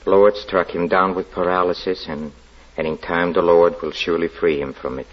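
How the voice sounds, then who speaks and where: An actor playing a murderous convict who poses as a pastor, halting and theatrical, in old radio drama style. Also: male voice, low-pitched, fairly even intonation. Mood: feigned mild piety. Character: murderous escaped convict posing as a small-town pastor, bitter, taunting. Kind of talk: 1940s radio drama acting. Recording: dull, lo-fi 1940s radio recording.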